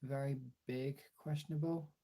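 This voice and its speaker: Young male streamer, husky and dark-toned, informal off-the-cuff chat with viewers. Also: medium pitch, fairly even intonation, normal speed. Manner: informal, chatty, answering chat as he goes. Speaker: streamer and video creator. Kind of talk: casual livestream chat.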